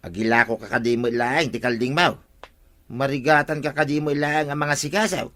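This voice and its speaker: An actor playing a grumbling village husband in an Ilocano radio comedy drama, with an Ilocano accent. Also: male voice, mid-range voice, steady tone, brisk, fairly bright tone, natural voice.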